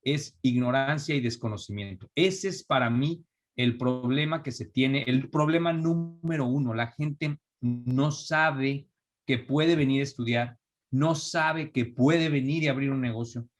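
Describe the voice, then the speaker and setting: A young adult man from Mexico with a Mexican accent, speaking as an interview guest in a relaxed, reflective way with frequent restarts. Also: medium pitch, measured pace. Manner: thoughtful, restarts often, reflective. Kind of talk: conversational interview.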